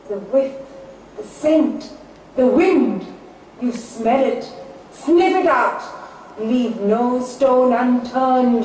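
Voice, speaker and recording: A woman's voice: high-pitched, darkish tone. An actress playing a whimsical female poet, delivering a declamatory, dramatic stage monologue. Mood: urgent, commanding. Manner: declamatory, dramatic, with emphatic repeats and drawn-out phrases. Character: theatrical, whimsical female poet musing aloud on art. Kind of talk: theatrical stage monologue, poetic recitation. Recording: distant, very echoey hall, faint noise.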